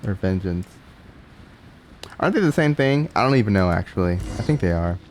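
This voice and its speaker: A woman's voice: very deep voice, animated, a little fast, darkish tone, slight background noise. A woman giving casual, playful commentary over a video game, reacting aloud as she plays. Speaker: gaming YouTuber.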